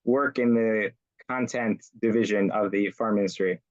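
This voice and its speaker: A man hosting an online community interview over a video call. He talks in a halting way, with many fillers and restarts. His audio sounds dull and lo-fi, like a low-quality call. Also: fairly even intonation. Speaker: rabbi, host.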